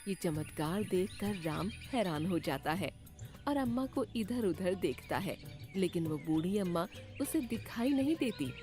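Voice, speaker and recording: A woman's voice: on the low side, big pitch swings, measured pace, dark tone. Woman narrating a story over music. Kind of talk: scripted children's story narration.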